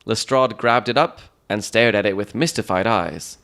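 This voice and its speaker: A man giving scripted, dramatic audiobook narration of a Victorian mystery in a measured, descriptive storytelling manner. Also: on the low side, gravelly, fairly bright tone.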